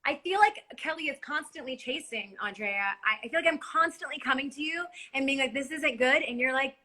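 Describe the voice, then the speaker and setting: Bright, eager woman hosting a chat. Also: high-pitched, a little fast. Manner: warmly affirming, eager listener. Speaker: actress, live-stream host. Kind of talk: casual live-stream chat.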